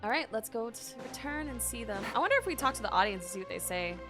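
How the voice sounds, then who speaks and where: A woman's voice: fairly high pitch, expressive, fast talker. A woman streamer giving casual, exclamatory, giggly reactions to a game. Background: game music.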